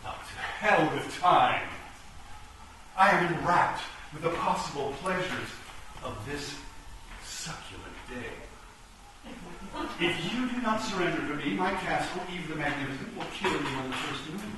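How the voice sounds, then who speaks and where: A man's voice: a little high, expressive, measured pace. Man playing a pompous chess king, very echoey. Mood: pompous seduction. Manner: pompous, florid, theatrical. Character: pompous, lecherous chess king, wheedling and vain. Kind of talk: stage radio-play acting. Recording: echoey hall, distant mic, noisy.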